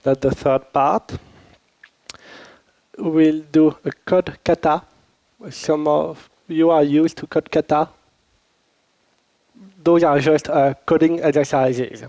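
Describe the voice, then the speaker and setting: French-accented guy teaching a workshop, bit of a cold, mellow voice, dry close mic. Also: fairly high pitch, steady tone, takes it slowly, pauses often, no room sound, rough recording. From Switzerland. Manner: informal, chatty, addresses audience with questions. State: recovering from a cold. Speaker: workshop instructor. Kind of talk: conference workshop talk. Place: conference workshop room. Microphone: handheld or lapel microphone.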